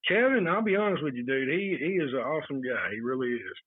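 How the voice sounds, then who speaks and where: A man's voice: mid-range voice, animated, quick pace, breathy edge, dry recording. A man telling anecdotes as a guest on a casual podcast, with lots of fillers. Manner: storytelling with many fillers. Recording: tinny phone-line sound.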